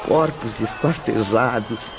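A man's voice: medium pitch, slow. A man narrating a scripted radio drama in a solemn, dramatic manner. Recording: dull lo-fi recording. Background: music.

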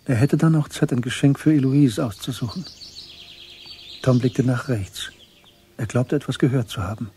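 A man narrating a German literary radio play in a measured, sober storytelling manner. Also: medium pitch, warm tone, a little hiss or hum, lightly acted. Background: music.

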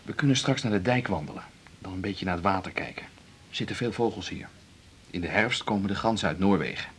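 An actor with a Netherlands Dutch accent playing a playful, romantic cellist hosting a dinner date in a 1980s radio drama. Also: male voice, deep voice, fast, a bit bright. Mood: musing, playful. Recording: 1980s radio recording, clear background noise.

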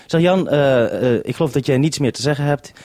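A man hosting a radio link, speaking calmly and courteously in an orderly radio-call style. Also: medium pitch, brisk, dry recording. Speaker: radio host on a two-way radio link. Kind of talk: radio call, saying "over".

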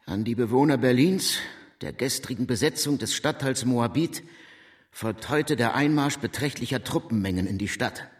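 A man's voice: mid-range voice, normal speed, lightly acted. Radio drama officer, male actor: stern, official, clipped, middle register. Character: stern male military officer reading a proclamation. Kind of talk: German radio drama acting.

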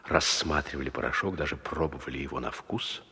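A man's voice: rumbling low, brisk, gravelly, sharp tone, slightly rough. A man narrating a scripted fairy tale as the storyteller of an audio play. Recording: long hall reverb.

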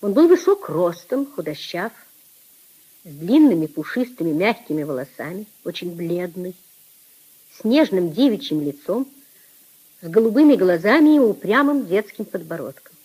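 A woman giving deliberate, expressive literary narration in a 1950s radio drama, with a husky, soft tone. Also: on the low side, poor audio.

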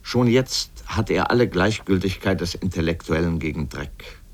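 Deep-voiced man, pointed literary commentary. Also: expressive, moderate pace. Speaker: essayistic narrator and commentator. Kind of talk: scripted literary radio essay.

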